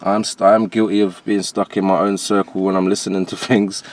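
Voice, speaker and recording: A man with a low, deep voice and a transatlantic accent. He is an interview guest from Jamaica, a rapper who speaks in loose, slangy, conversational phrases. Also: flat delivery, brisk. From Jamaica, later moved to England. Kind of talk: casual interview talk.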